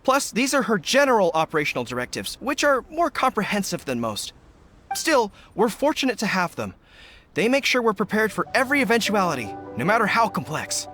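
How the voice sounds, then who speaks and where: An earnest young general in a video game, with a high, lively voice. He is loyal, brisk and respectful, speaking formally over music. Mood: earnest, proud.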